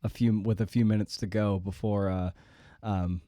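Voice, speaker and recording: Casting: male podcast host, middle-aged, lowish voice, close dry sound, wry and self-deprecating. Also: little pitch movement, normal speed, dark tone, dry recording. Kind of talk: casual podcast monologue and interview.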